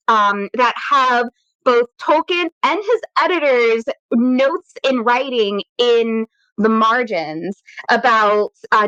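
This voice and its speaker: A woman's voice: a little high, fairly bright tone, clean tone, no echo, highs cut off. A woman hosting a casual podcast, chatty and upbeat, laughing easily. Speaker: podcast host and editor. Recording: dry room, some static.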